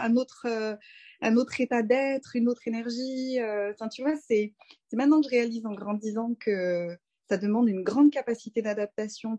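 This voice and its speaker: A woman in her thirties from France, a doula speaking as a guest in a relaxed interview, thinking aloud with frequent fillers and restarts. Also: medium pitch. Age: 30s. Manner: reflective, many fillers and restarts. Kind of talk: casual conversational interview.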